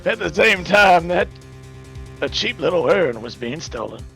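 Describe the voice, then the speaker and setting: A man's voice: high-pitched, big pitch swings, measured pace, creaky, a bit bright, faint music behind, full character voice, poor audio. A man voicing a smug, taunting prosecutor in a game fan dub, with a sardonic drawl. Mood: smug, grave. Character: smug, cool, taunting prosecutor who says amigo.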